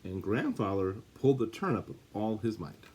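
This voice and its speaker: A man with a husky voice reading a children's storybook aloud, with dramatic, drawn-out emphasis. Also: lowish voice, very animated, dark tone, a little hiss or hum. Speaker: story reader. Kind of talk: animated read-aloud of a children's picture book.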